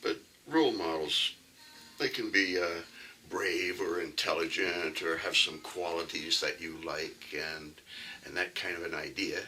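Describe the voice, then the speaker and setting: A man's voice: sits low, a little slow, bright, forceful tone, distant mic, a little hiss or hum. A man with a Canadian accent giving a casual, rambling archery talk on his own channel. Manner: rambling, folksy, with frequent fillers. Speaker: archery vlogger.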